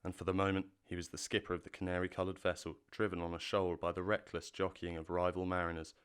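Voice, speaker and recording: A man narrating a classic children's story with measured, expressive delivery on a close mic. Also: deep voice, clear, resonant tone, dry recording. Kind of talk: audiobook narration.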